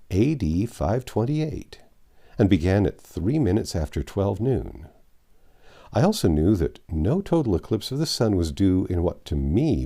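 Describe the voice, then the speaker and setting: Low, mellow, very animated male narrator. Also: husky. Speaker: audiobook narrator. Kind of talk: expressive literary storybook narration.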